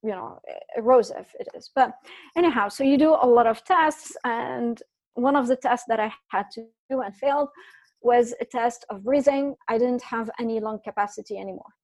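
Guest, woman from Syria, high voice, animated storyteller. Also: moderate pace. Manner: animated storyteller, many restarts. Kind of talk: casual interview chat.

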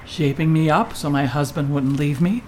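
A woman's voice: low-pitched, normal speed, darkish tone, slight background noise, lightly acted. An actress playing a lonely, sluggish older woman who grumbles and wisecracks at her own expense in a stage play. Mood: downcast, wry. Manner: wry, grumbling, self-mocking. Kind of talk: scripted two-person stage comedy-drama.

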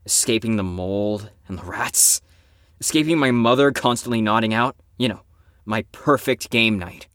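A man's voice: mid-range voice, a bit bright, no echo, clean studio sound, lightly acted. A man playing a brash, sarcastic, cocky and bitter teenager in an audio drama.

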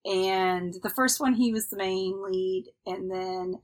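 Upbeat woman cohosting a chill podcast, rambly and chatty, mid pitch. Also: takes it slowly. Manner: chatty, upbeat, trails off with fillers. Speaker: podcast co-host. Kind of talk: casual chat.

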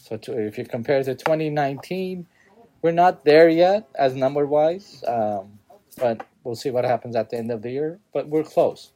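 A man, a shop owner talking casually in an interview, halting as he searches for words. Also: medium pitch, mellow. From Southern California, United States. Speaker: toy store owner being interviewed.

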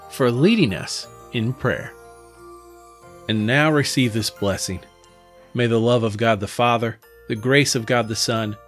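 A pastor with an Indiana accent giving a devotional address and blessing, speaking expressively. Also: male voice, medium pitch, fairly bright tone.

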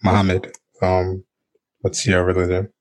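Deep-voiced man hosting a casual chat. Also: a little slow, dry recording. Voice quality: relaxed, filler-heavy, laughs often. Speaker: podcast host.

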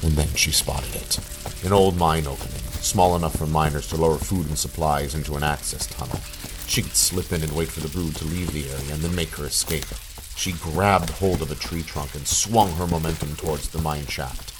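Extremely deep-voiced male drama narrator. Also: fairly even intonation, normal speed, noisy background. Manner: dramatic, suspenseful storytelling. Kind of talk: scripted audio drama narration. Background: music.

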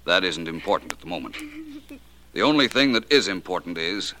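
Shrewd detective, low voice, lively inflection, polished wry manner, 1940s radio acting. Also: male voice, a little fast, full character voice. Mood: cool, pointed. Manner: polished, wry, formal phrasing. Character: suave, shrewd, unflappable male private detective.

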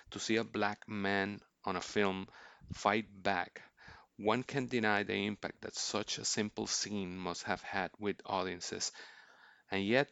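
A man hosts a film review in a low register with a gravelly edge. His delivery is measured and earnest, reading prepared commentary, and the sound is very dry. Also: a bit bright, dry recording. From Puerto Rico. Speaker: film podcast host. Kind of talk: scripted film review narration.

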